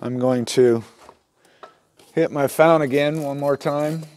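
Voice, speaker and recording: A man's voice: on the high side, fairly even intonation, a little slow, darkish tone. A middle-aged man talks casually through a repair step by step, in a plain-spoken, folksy way as he works. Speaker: instructor in a how-to repair video. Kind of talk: casual hands-on demonstration talk. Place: small wooden workshop at a workbench, indoors.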